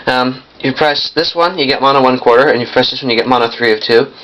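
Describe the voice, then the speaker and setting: A young man giving a casual hands-on demonstration in a halting, piecemeal way, often correcting himself. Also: medium pitch. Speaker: vintage audio collector demonstrating a machine. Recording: muffled lo-fi camcorder sound, noisy.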